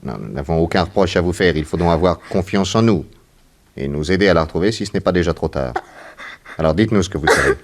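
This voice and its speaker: An actor playing a shrewd, composed private detective in a 1960s French radio serial, measured and probing. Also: male voice, low voice. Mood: firm, pressing. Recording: noisy vintage 1960s radio recording.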